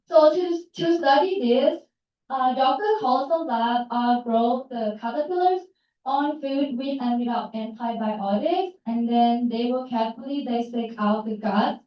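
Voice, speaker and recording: A young woman from Thailand, giving a short student research talk and answering questions, halting and searching for words. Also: sits high. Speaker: student presenter. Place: large campus hall. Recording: echoey hall, distant, dull.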